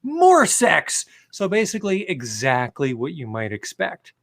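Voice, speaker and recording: High-voiced, animated man bantering. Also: unhurried, vocal fry. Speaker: comedy show co-host. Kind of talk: comedic banter and dramatic reading of reviews.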